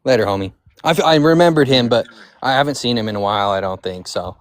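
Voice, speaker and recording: A man's voice: medium pitch, quick pace. A man chatting casually on a live stream as a panelist, full of bro-and-dude slang.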